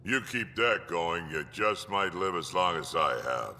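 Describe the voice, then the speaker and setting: An actor playing a gruff, weathered old alien mercenary in a video game, blunt and world-weary, with a gravelly voice. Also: male voice, low voice, big pitch swings, measured pace, bright, forceful tone, heavily acted. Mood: wry, approving. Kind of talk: video game dialogue acting. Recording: reverberant hall, clearly noisy background.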